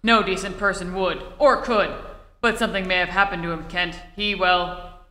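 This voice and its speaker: An actor playing a skeptical, excitable editor turned mayor in an old-time radio play re-enactment. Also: male voice, high-pitched, normal speed. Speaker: amateur theatre actor. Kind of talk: stage re-enactment of 1940s radio acting. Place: theatre stage, live performance. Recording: echoey hall with reverb.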